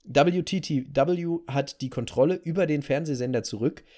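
A man's voice: a little high, normal speed, dark tone. A man hosting a talk show, telling a story in a casual, conversational way and repeating phrases for emphasis. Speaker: podcast host telling a story.

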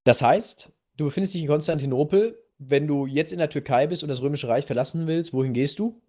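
A man hosting a podcast, telling a history story casually and playfully in a halting, slightly husky voice. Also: a little high, mellow. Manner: halting, playful storyteller addressing co-host directly. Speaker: podcast host.

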